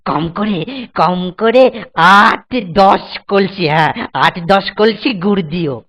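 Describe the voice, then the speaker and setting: A woman voicing an excitable, scheming male village grocer in a cartoon comedy, blustering, stammering and repeating words. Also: mid-range voice, takes it slowly. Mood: wheedling. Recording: background music under the voice.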